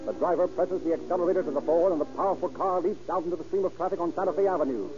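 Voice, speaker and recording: A man's voice: on the high side, rapid-fire, over music. Urgent old-radio crime narrator, man. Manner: urgent, dramatic. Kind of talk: 1930s radio drama narration. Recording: muffled old radio broadcast with loud hiss.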